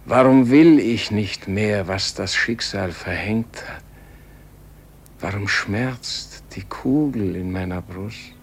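A man's voice: fairly low pitch, slow, husky, exaggerated voice. An actor playing a frail, anguished dying holy man in a poetic radio play, speaking in a solemn, declamatory lament. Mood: anguished questioning.

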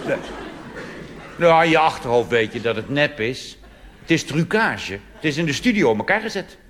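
A man's voice: a little high, expressive, moderate pace, a bit bright, slight background noise. A Dutch man performing a chatty, wry cabaret monologue full of asides, recorded live on stage. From Netherlands. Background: audience laughter and applause.

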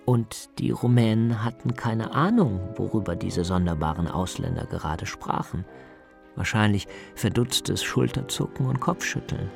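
Low-pitched, gravelly male documentary narrator. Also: lively intonation, moderate pace, music in the background. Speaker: narrator, radio documentary author.

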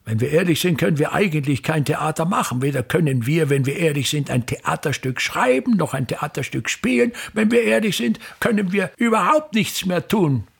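Raspy, pompous theatre impresario. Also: male voice, on the high side, exaggerated voice. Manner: declamatory, repetitive, querulous tirades with emphatic pauses. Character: pompous, embittered ageing male theatre impresario ranting at everything. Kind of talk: German-language radio play acting.

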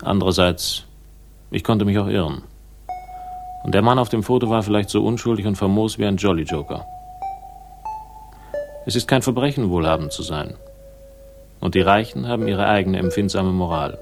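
Very low-voiced wry detective narrator. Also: male voice, moderate pace, creaky, pauses often, music in the background. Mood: sardonic musing. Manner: dry, literary, ironic. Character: wry, world-weary male detective narrator. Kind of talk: scripted first-person crime radio narration.

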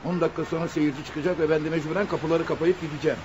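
Male actor as anxious accomplice for radio play, scolding, rapid delivery, faint noise. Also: a little high, fairly even intonation, lo-fi sound, natural voice. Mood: agitated, scolding. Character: nervous, grumbling male cinema usher, reluctant accomplice. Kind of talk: radio drama acting.

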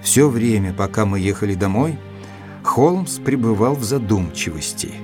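Composed gentleman narrator over music played by man. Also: fairly low pitch, animated, normal speed, close to an everyday voice. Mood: reflective. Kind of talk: scripted dramatic narration.

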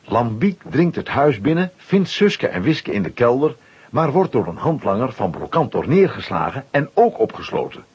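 Husky, animated male storyteller. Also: medium pitch, moderate pace. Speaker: narrator. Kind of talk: scripted storytelling for a children's audio play. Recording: slightly dull old audio recording.

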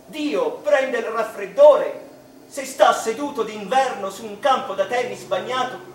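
An actor playing an anguished, reflective English mathematician in a theatrical radio monologue, slow and dramatic, with pauses between short phrases. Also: male voice, extremely high pitch, fairly bright tone, a little hiss or hum. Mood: intense, wounded. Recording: roomy, distant stage-like sound, music behind.

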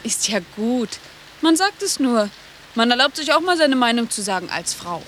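A woman's voice: on the high side, big pitch swings, normal speed, a bit bright. An actress playing a brash, volatile female hitchhiker in a German radio drama, abrasive and theatrical, swinging between mockery and pleading. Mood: hostile, defiant. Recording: faint noise, early-1980s radio drama tape.

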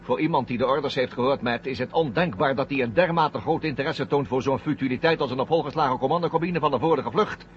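A man's voice: medium pitch, fast, few pauses, natural voice. A male actor playing a coldly triumphant, sarcastic astronaut traitor in a 1970 science fiction radio drama. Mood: mocking. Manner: sarcastic, taunting. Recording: muffled old radio recording, faint noise.

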